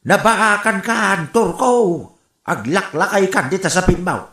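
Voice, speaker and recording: A nagging, exasperated father with an Ilocano accent, scolding and teasing with big swings in pitch. The radio comedy delivery sits in a roomy, echoing sound. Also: lively intonation, measured pace, bright, forceful tone, exaggerated voice.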